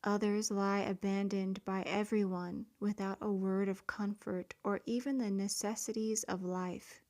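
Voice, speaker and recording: A woman reads a devotional prayer at a very unhurried pace. She sounds earnest and pleading. Some of her words fade into a soft whisper. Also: mid-range voice, little pitch movement. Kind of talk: slow devotional prayer reading.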